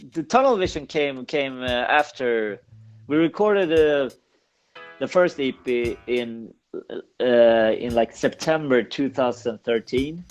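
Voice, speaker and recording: A Swedish man gives a casual interview in accented English at a middling pitch. He is halting, doubling back on words and pausing to find the right one. Guitar music plays faintly behind him. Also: low-quality recording. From Sweden. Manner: halting, repeats words, searches for words. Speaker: podcast guest, punk band singer.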